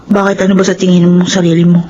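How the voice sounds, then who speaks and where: A woman's voice: on the low side, flat delivery, fast, mellow, lo-fi sound, natural voice. An actress playing a principled, modest young woman student, earnest and firm, in a melodrama. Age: young adult. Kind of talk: Filipino melodrama acting.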